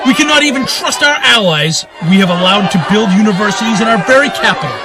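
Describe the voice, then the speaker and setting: A man's voice: high-pitched, expressive, fast, crowd noise, noisy background. A man playing a proud, vengeful duke who claims a throne in a fantasy radio drama, declaiming in righteous, grandiose oratory. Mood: accusing. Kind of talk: radio drama monologue in a fantasy audio play.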